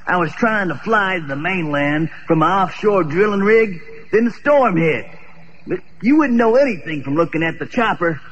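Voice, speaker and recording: Casting: male actor as plain-spoken pilot, folksy, high-set lively voice, radio drama. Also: normal speed, lo-fi sound. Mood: recounting. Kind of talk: old-time radio drama acting. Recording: slightly noisy radio-style recording.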